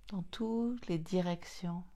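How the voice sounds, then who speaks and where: A woman leading a guided yoga nidra relaxation in a soothing, unhurried manner, in short phrases separated by long pauses. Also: lowish voice, mellow.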